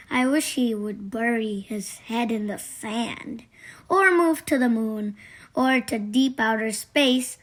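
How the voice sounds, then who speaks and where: A woman reading children's poems aloud from a storybook in a deliberate way. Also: fairly high pitch. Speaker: storybook reader. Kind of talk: read-aloud children's poetry.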